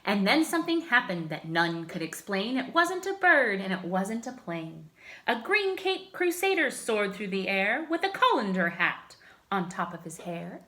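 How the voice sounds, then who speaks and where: A woman reading a children's picture book aloud like a teacher, with expressive, emphatic phrasing. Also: medium pitch, unhurried. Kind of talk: children's story read-aloud.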